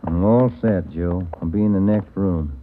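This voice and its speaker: A man's voice: sits low, normal speed, background noise, close to an everyday voice. An actor playing a businesslike male police detective partner in a 1940s radio drama. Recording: tinny old radio broadcast.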